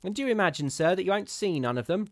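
A man's voice: high voice, expressive, fast talker, no echo, clean studio sound, pitched above the performer's own voice. A man putting on a harsh, scolding old charwoman. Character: gruff, scornful old charwoman, unafraid.

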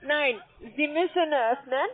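Female foreign-accented telesales agent, high voice, halting slow delivery, tinny phone line. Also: a crowd in the background. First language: not German. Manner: halting, broken phrasing. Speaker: call centre trading agent. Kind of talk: halting sales phone call.

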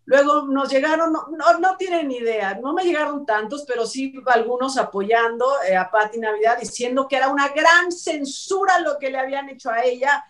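Casting: Mexican woman, high voice, chatty and sarcastic show host, heard a little far from the mic. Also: normal speed, few pauses, fairly bright tone. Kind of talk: informal opinion commentary on entertainment news. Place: indoor room, video call.

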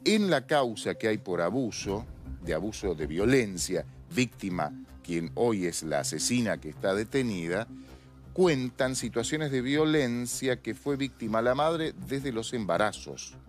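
A man's voice: lowish voice, expressive, a little slow, soft background music. A man in his forties with an Argentine accent, co-hosting a studio talk show and explaining in an earnest tone. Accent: Argentine Spanish. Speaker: TV show co-host. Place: TV studio set.

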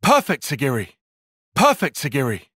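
Brainy young male fighter, video game battle barks, forceful bright tone, wide swings in pitch, clipped commands. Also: wildly swooping pitch, normal speed, husky, no echo, clean studio sound, exaggerated voice. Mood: composed, focused. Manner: clipped, commanding short call-outs. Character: brainy young male tactician fighter, composed but intense.

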